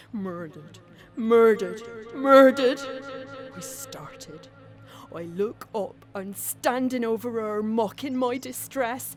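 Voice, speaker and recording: A grief-crazed tragic heroine of Victorian melodrama. Her high voice moves very slowly, haunted and theatrical, shifting between hush and wildness over music. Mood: haunted, accusing. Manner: dramatic, haunted, swinging from hushed to wild.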